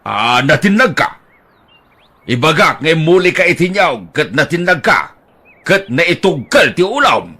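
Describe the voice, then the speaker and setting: A man's voice: fairly high pitch, sing-song, measured pace, sharp tone, heavily acted. An actor playing a teasing older husband who gambles, bantering with his wife in an audio drama.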